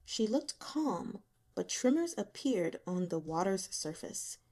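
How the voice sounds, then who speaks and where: A woman reading a novel aloud as expressive scripted narration. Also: medium pitch, slow pace. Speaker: audiobook reader.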